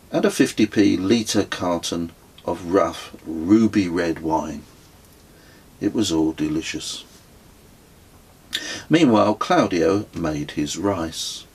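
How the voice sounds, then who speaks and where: Deep, husky man reading slowly. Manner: measured, literary storytelling. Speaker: author reading own memoir. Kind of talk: scripted audiobook narration.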